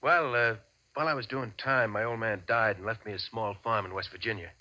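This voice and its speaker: Hesitant, weary ex-convict, sharp-edged voice. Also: male voice, lowish voice, steady tone, a little fast. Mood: polite, guarded. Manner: hesitant, polite. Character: weary, reserved ex-convict, reluctant guest. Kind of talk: 1940s radio drama acting. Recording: dull vintage radio recording.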